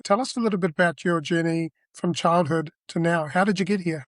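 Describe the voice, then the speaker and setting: A man's voice: fairly high pitch, fairly quick, no echo, clean studio sound. A man hosting a podcast, reading a polished motivational intro and then asking interview questions. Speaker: podcast host.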